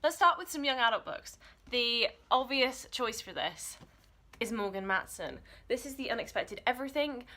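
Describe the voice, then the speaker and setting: A woman's voice: medium pitch, pushed and bright. A young woman in her twenties giving enthusiastic, informal recommendations in a casual, chatty vlog style. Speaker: book vlogger.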